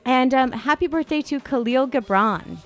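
A woman co-hosting a podcast, chatting casually and comedically in an animated, expressive way. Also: high-pitched, moderate pace. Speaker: podcast co-host.

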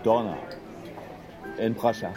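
A man acting an uneasy Prussian immigrant tradesman in his late thirties, speaking with a German accent in halting short sentences in a period drama, over music. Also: fairly low pitch. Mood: wistful, earnest.

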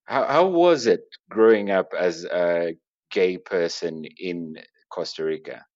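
A man's voice: low-pitched, slow pace, darkish tone, lo-fi sound. A man interviewing a guest, asking questions with short encouraging affirmations. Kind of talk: conversational interview.

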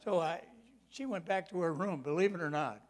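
A man in his eighties preaching a sermon, stressing key words slowly, dropping to quiet asides and telling folksy personal stories. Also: a little high. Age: 80s.

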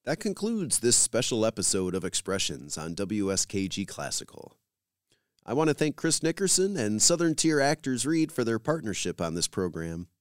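A man's voice: fairly low pitch, sing-song, moderate pace, no room sound, studio quality. A man hosting a radio program, reading scripted introductions and credits as a presenter.

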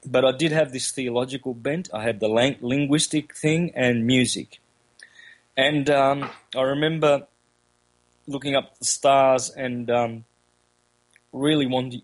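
A man with an Australian accent, first language Calabrian, speaking as an interview guest, halting with many fillers and restarts. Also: medium pitch, rough recording. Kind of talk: casual interview talk.

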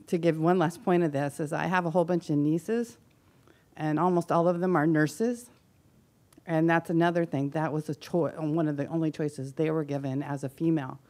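A woman from the audience at a conference session, speaking loudly and earnestly with many restarts. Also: deep voice. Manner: loud, earnest, rambling with restarts. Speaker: audience member. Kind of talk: conference discussion.

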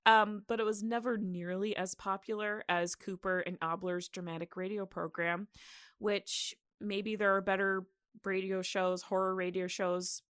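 A woman's voice: mid-range voice, flat delivery, measured pace, fairly bright tone, clean tone, no echo, clean studio sound. A woman co-hosting a podcast in a casual, conversational style. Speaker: podcast co-host. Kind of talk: casual podcast chat with some scripted history.

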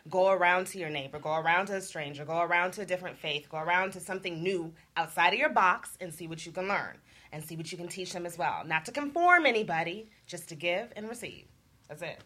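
Woman on a chat panel, kinda low voice, earnest and folksy. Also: fast, a bit bright. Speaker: talk show panelist. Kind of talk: casual panel discussion.

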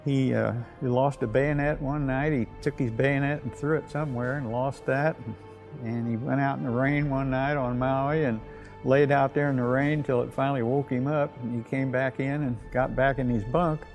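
Old american vet telling war memories, gravelly voice, halting n plain spoken, bit of music behind. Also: male voice, mid-range voice, fairly even intonation, a little mellow. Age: elderly. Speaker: war veteran. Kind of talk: unscripted reminiscence.

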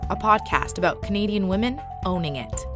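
A woman's voice: medium pitch, animated, brisk, loud music behind the voice. A woman narrating as a podcast host from a script.

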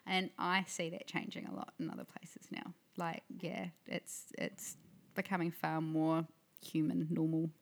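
A woman's voice: deep voice, little pitch movement, measured pace, creaky. A woman hosting a casual interview, encouraging her guest with frequent affirmations. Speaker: podcast host.

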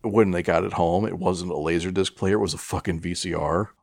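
A middle-aged American man with a low voice, recorded dry and close. He chats casually as a podcast co-host, wandering through his stories with stops, restarts and plenty of swearing. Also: fairly even intonation, vocal fry, no room sound. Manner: profane, rambling, halting. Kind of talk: casual chat, rambling storytelling.

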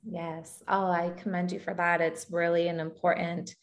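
A woman's voice: lowish voice, flat delivery, normal speed. A woman hosting an interview podcast, introducing her guest and affirming what she says in a friendly way. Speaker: podcast host.

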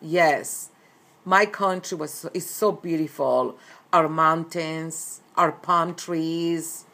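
Low-voiced woman author. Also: fairly even intonation, takes it slowly, bright, forceful tone. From Colombia. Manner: earnest, repeats phrases. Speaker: interviewed author. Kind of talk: recorded interview.

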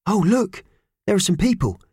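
Cheerful storybook mouse, small and male, done by a man: high, chirpy, excitable, very animated. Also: moderate pace, slightly rough, no room sound, clean studio sound, heavily acted. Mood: curious.

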